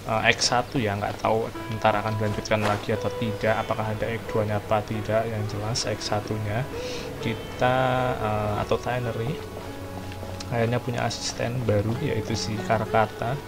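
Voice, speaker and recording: A man's voice: lowish voice, flat delivery, fairly quick. A man with an Indonesian accent giving casual gameplay commentary as a streamer. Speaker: game streamer. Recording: loud game music behind. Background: loud game music.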